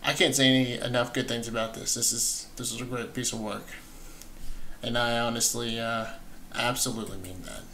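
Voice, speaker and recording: Bright-voiced man giving casual reaction commentary. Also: mid-range voice, level tone, normal speed, slight background noise. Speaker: reaction video host. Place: small indoor room at home.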